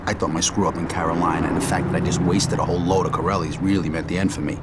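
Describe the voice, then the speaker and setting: A man's voice: low-pitched, little pitch movement, racing, heavy background noise, natural voice. A man playing a tough, streetwise New mobster who narrates his story in a game cutscene, hard-edged and profane. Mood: grim recollection. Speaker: narrating protagonist.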